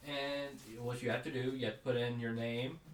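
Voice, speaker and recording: A man talking casually as a podcast co-host, rambling along with cynical asides. His mid-pitched voice sounds set back from the mic in a roomy, echoing space. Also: level tone, brisk, far from the mic, slight background noise. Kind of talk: casual chat.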